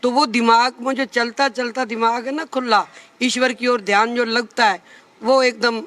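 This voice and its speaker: A woman's voice: on the high side, fairly even intonation, normal speed, a bit bright. A woman giving unscripted, rambling answers as a news interviewee.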